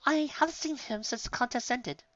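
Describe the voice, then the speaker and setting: A man's voice: very high voice, normal speed, fairly bright tone, dry recording, lo-fi sound, pitched above the performer's own voice. A man voicing a refined, coy female unicorn in a genteel, affected manner for a story. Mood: polite, concerned.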